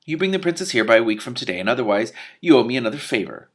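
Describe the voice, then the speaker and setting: A very young storybook dragon, voiced by a man reading aloud, earnest and easily offended. The voice is husky and swings up and down as it fusses in a blunt, childlike way. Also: medium pitch, very animated, racing. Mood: fussy, bargaining.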